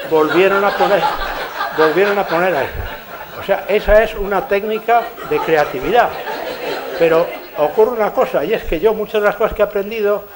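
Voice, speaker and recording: Spanish man telling anecdotes, higher voice. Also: slight background noise. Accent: Spanish from Spain. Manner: lively storyteller, chuckling through his own anecdotes. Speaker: engineer giving a conference talk. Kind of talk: conference lecture with anecdotes. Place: lecture hall.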